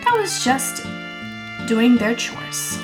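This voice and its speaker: A woman reading a children's storybook aloud in an animated, expressive way, stretching key words for emphasis. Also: a little high, slow. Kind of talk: animated read-aloud narration. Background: music with plucked guitar.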